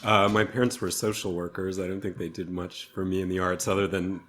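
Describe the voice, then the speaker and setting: A man's voice: sits low, level tone, brisk, fairly bright tone. A man answering casually as a podcast guest, understated and dry.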